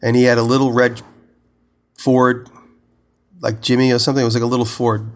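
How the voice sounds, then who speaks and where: A man with a head cold, his voice nasal and congested, chatting on a podcast. He talks fast and rambles from one story into the next at an everyday pitch. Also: little pitch movement. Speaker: podcast co-host. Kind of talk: casual chat.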